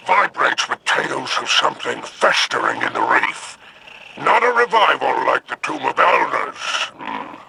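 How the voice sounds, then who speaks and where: An actor playing a sly, greedy alien crime boss in a video game, gravelly and smug, with a drawl. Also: male voice, fairly high pitch, noisy background, exaggerated voice. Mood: smug, scheming. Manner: slow, smug, drawling with pauses. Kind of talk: video game character dialogue.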